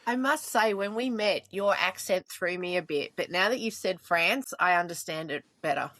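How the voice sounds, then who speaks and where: A woman's voice: fairly low pitch, moderate pace, fairly bright tone. A woman co-hosting a podcast, making chatty remarks in a casual conversation. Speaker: podcast co-host. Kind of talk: casual chat.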